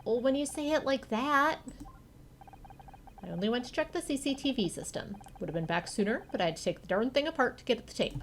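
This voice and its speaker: A woman's voice: a little high, expressive, a little fast, a little hiss or hum, pitched above the performer's own voice. A woman voicing a nervous, bumbling male security guard who is eager, flustered and stammering in a video game. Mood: sheepish.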